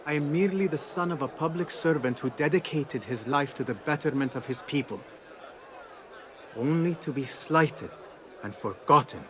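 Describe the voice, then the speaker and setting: Young cocky thief in a game, idealistic, bit high voiced and animated. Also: male voice, a little hiss or hum. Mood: proud, hopeful. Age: young adult. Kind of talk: cinematic video game acting.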